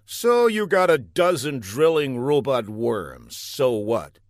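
A dim but wisecracking hero acted out by a man in a comic storybook. His delivery is lively yet obtuse and earnest, in a middle pitch with a close, dry sound. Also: slow pace, dry recording. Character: dim, wisecracking male hero, naively unfazed. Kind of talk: comic audiobook dialogue.

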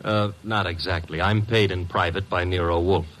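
An actor playing a sarcastic young private detective who also narrates, in 1940s radio acting style. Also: male voice, low voice, steady tone, moderate pace, a bit bright. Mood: wry. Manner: dry, sarcastic wisecracks. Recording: noisy old radio broadcast.

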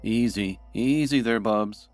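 A man's voice: lowish voice, slow, darkish tone, faint music behind. Wary, wry man playing a dungeon prisoner. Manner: cautious, wry, explanatory. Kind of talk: comedic fantasy audio drama acting.

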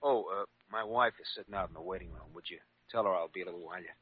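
Male actor as weary big workman, deep voice, plain and obliging, vintage radio play, muffled recording. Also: very fast, very noisy, close to an everyday voice. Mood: weary, compliant. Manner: subdued, plain, compliant. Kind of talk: classic American radio drama acting.

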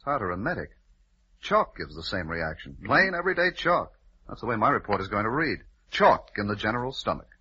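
Wry low husky chemist, old radio. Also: male voice, big pitch swings, a little fast, bright, forceful tone, exaggerated voice. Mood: matter-of-fact. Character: wry, casual laboratory chemist, unfazed by gruesome work. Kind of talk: old-time radio drama acting. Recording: muffled old radio recording.

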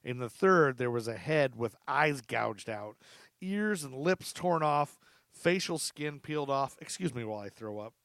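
A man's voice: medium pitch, expressive, measured pace, fairly bright tone, no room sound. A male podcast host narrates in a mid-range voice, moving between reading from notes and casual chat. He is informal and drops in joking asides. The sound is dry, with very little room in it. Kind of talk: true crime storytelling, part read from notes, part casual chat.

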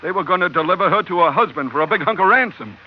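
An actor playing a brash, slangy, loyal sailor sidekick in an old-time radio drama, with a wisecracking manner. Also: male voice, high voice, lively intonation, very fast. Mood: brash, amused. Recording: dull, lo-fi, noisy old radio recording.

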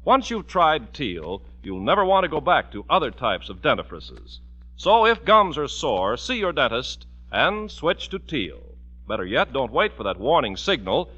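Animated, bright-voiced 1940s radio announcer, male. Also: medium pitch, normal speed. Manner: emphatic, polished salesmanship. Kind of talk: 1940s radio commercial and scripted narration. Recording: dull vintage radio broadcast recording.

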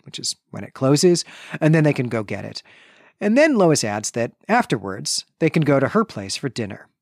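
A man's voice: medium pitch, no echo, clean studio sound. A man co-hosting a literary podcast, recapping the plot casually and adding asides along the way. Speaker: podcast co-host.

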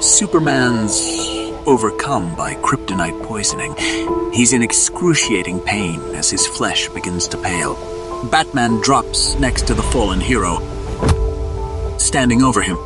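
A man's voice: lowish voice, animated, a little slow, over loud music. A man narrating an audio drama in a dramatic, scripted style.

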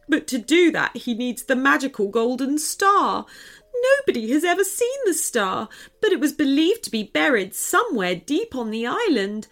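A woman's voice: sits high, voice pushed up. A woman voicing a small, frightened runaway elf girl in a storybook, plaintive and tearful.

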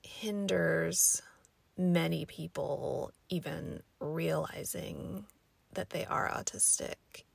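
A young adult woman with a raspy, scratchy voice from allergies, hosting a casual solo podcast in a thoughtful, rambling way with many fillers. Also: lowish voice, no echo. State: hoarse from allergies. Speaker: podcast host.

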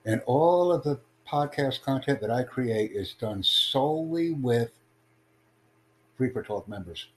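A man hosting a livestream podcast, addressing callers in a deliberate, instructive way. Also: mid-range voice, lively intonation. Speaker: podcast host. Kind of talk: livestream chat with online callers. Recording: clearly noisy, humming background.

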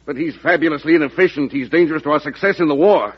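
A man's voice: a little high, quick pace, husky. An actor playing a dry, principled congressman in an old-time radio drama, formal and terse in his speech. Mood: blunt. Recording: dull old radio recording.

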